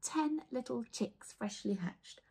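A woman's voice: on the high side, animated, slow, creaky, warm tone, breathy edge. A woman in her forties reading a children's storybook aloud, playfully engaging listeners with questions. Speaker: children's author reading her own book. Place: small indoor room lined with bookshelves.

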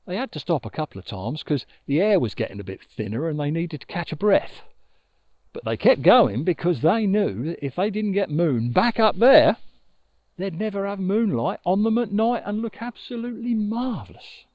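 A middle-aged man telling a children's story expressively, with dramatic pauses, recorded outdoors on a lapel mic. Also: sits high, sing-song, no room sound, lo-fi sound. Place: outdoors on an open riverbank. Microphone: lapel mic with furry windshield.

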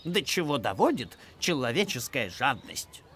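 Greedy, theatrical cartoon cat, swooping. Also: female voice, very deep voice, big pitch swings, normal speed, very noisy. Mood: grumbling, haughty. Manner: theatrical, grumbling. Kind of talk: children's cartoon voice acting. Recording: loud music behind.

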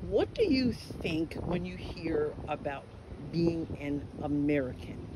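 A woman's voice: low voice, expressive, takes it slowly. A woman podcast host conducting street interviews outdoors on a college campus, probing and encouraging. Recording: outdoor background noise.

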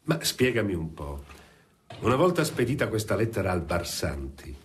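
A man's voice: on the low side, very animated, moderate pace, slight background noise. An actor playing a shrewd, self-possessed male lawyer in a radio drama, sardonic and theatrical. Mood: sardonic challenge. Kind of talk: Italian radio drama acting.

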